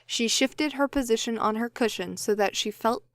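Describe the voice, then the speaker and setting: A woman's voice: on the high side, normal speed, dry recording, studio quality. A woman reading scripted story narration for an audio drama. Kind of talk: scripted audiobook-style narration, blooper takes.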